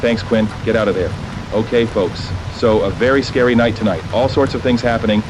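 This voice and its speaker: An actor playing a concerned, folksy local radio host who calmly guides listeners through an emergency in a horror drama. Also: male voice, on the low side, fairly quick, lightly acted. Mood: concerned, steady. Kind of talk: informal emergency radio broadcast. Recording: clear background noise.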